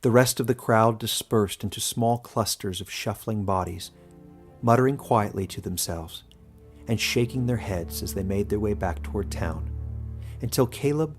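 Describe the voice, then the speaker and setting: A man with a fairly low voice reads fantasy fiction as an audiobook narrator. His delivery is scripted and dramatic, made for storytelling. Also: normal speed, slightly rough, faint music behind.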